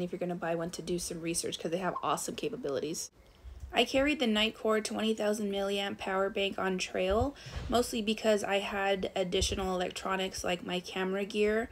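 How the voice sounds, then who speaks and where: A woman narrating a casual review of her thru-hiking gear, relaxed, with frequent fillers as she recommends items. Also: mid-range voice.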